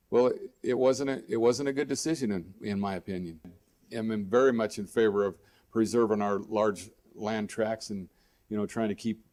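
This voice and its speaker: A man's voice: lowish voice, darkish tone. An American man giving unscripted radio interview answers in a plainspoken, folksy way, often repeating and restarting his phrases. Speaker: guest, local political candidate.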